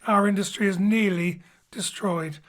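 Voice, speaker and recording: A man's voice: sits high, fairly even intonation, moderate pace, a bit bright. Male theatre director introducing an audio drama. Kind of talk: informal spoken introduction, explanatory. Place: home studio, remote link.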